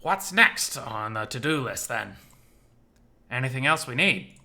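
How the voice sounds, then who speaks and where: A man's voice: medium pitch, animated, a little slow, pushed and bright, full character voice. A man voicing an older male survivor in a visual novel, an easygoing mentor who is casual and fond. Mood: warm, casual.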